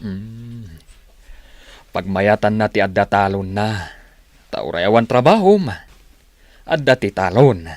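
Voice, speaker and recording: A man's voice: lowish voice, expressive, slow, long pauses, a little mellow, dry recording. A man playing a weary farmer father in an Ilocano radio drama, speaking plainly and resignedly with an Ilocano accent.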